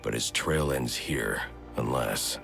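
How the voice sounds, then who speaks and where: An actor playing a grim, brooding vigilante detective in a video game, terse and deadpan with a gravelly voice. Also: male voice, a bass voice, slow, heavily acted. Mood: grim, analytical. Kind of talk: video game voice acting, investigative monologue. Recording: loud music underneath.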